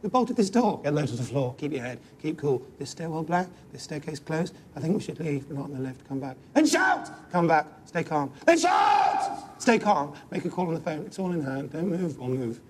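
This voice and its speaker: A man reading a dramatic poem in clipped, intense fragments, his delivery often hushed. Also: fairly high pitch, big pitch swings, moderate pace, few pauses, a little hiss or hum. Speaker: reader. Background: music.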